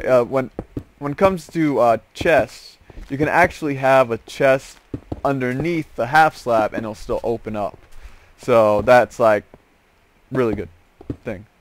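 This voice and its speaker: A man giving casual, rambling game commentary as host, drifting into joking asides. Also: mid-range voice. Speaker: host of a gaming series. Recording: clear background noise with clicks.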